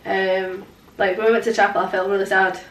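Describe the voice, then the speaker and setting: A woman's voice: mid-range voice, level tone, a little fast, far from the mic, a little hiss or hum. Young woman, hesitant, in an echoey room. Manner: hesitant, lots of fillers. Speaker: guest, paranormal investigator. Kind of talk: casual interview answers. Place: living room, indoors, on a sofa. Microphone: far camera.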